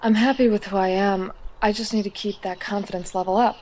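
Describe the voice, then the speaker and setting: A woman's voice: mid-range voice, steady tone, a little fast, a little mellow, a little hiss or hum, natural voice. A woman acting an insecure, romantically unlucky teenage schoolgirl in an amateur audio drama, self-pitying and hesitant. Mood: brightening, grateful.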